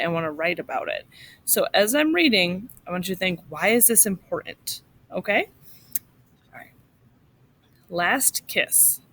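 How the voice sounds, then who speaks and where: A woman reading a short story aloud to children in an expressive, instructive way, like a teacher. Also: mid-range voice, a little hiss or hum.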